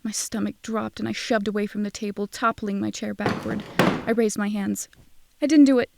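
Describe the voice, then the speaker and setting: A woman's voice: mid-range voice, fairly even intonation, moderate pace, creaky, heavy background noise, close to an everyday voice. A woman playing a wry, cynical young fake psychic, narrating an audio drama in a sardonic, confiding way. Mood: alarmed. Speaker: first-person narrator and lead character.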